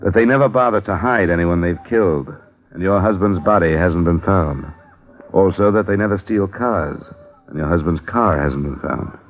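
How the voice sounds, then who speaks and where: A man's voice: low-pitched, moderate pace, close to an everyday voice. An actor playing a terse male insurance investigator who narrates his own case in a 1950s radio drama. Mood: probing. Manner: terse, matter-of-fact, probing. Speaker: lead detective and narrator. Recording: lo-fi old radio broadcast with music.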